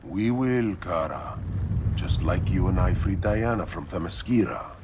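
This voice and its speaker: An actor playing a solemn, commanding rebel in a video game, measured and assured. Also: male voice, low voice, noisy background. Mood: calm resolve. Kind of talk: video game cutscene acting.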